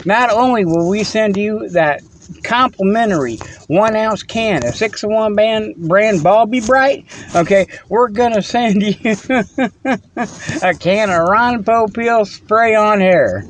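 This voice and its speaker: A man with a high voice rambles on, chuckling and restarting his sentences as he teases. He records it himself on a phone. A faint hum of background noise sits under him. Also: slow. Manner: playful, teasing. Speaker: host. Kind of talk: rambling comic monologue.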